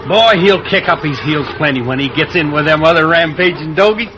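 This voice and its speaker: An excitable, folksy cowboy sidekick with a rural Western American twang. His voice is high and bright, swinging freely up and down in old radio-western style, with faint noise on the recording. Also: fairly quick, muffled recording.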